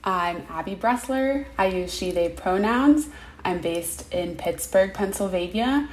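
Twenty-something woman on a video call, earnest and a bit fast, medium voice. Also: mid-range voice, lively intonation. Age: 20s. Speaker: guest. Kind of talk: casual interview answers.

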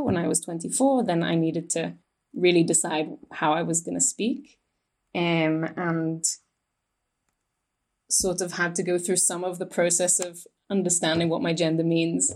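A non-binary person in their thirties, speaking as an interview guest in a hesitant way with many pauses. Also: mid-range voice, fairly even intonation, darkish tone. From Israel, raised in England. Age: 30s. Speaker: guest, Hebrew educator.

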